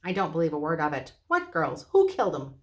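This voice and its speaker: A woman's voice: sits low, wildly swooping pitch, brisk. A woman voicing an old, deaf, irritable male dwarf lord in a storybook reading. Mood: grumpy. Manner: irritable, half-shouting.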